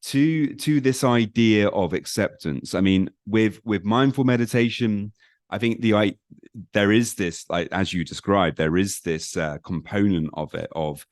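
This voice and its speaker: A man hosting a conversational podcast interview, speaking haltingly and circling back over his words. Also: lowish voice, dry recording, clean studio sound. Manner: halting, rambling with repeated words. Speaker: podcast host.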